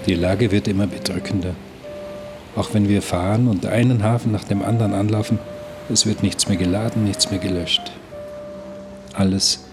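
A brooding seafarer played by a man narrates in a low voice over clear music. It is scripted, inward radio-play narration, like a private log of life stuck aboard. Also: close to an everyday voice. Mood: bleak. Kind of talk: scripted audio drama narration.

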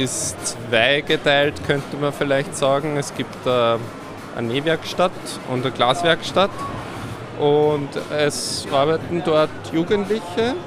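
A man describing a youth workshop in a relaxed, hesitant way with many fillers. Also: mid-range voice. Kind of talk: interview answers. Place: busy indoor fair hall.

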